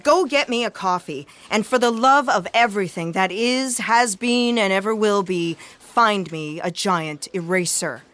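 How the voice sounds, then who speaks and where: An actress playing a bossy, exasperated editor-in-chief in a comic sci-fi audio play, with an imperious, theatrical manner. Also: female voice, mid-range voice, a little slow, few pauses, fairly bright tone, close to an everyday voice.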